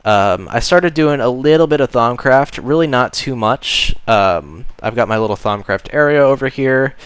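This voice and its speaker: A man casually narrating a tour of his game base, relaxed and rambling with frequent ums and uhs. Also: medium pitch, normal speed, smooth, no room sound. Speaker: modded game player giving a base tour.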